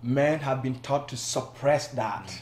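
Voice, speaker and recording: A man from Cameroon talks as a guest on a studio panel. His voice sits at a middle pitch, and he explains his ideas in a measured way, restarting phrases now and then. The room around him is noticeably echoey. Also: darkish tone. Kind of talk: casual panel discussion.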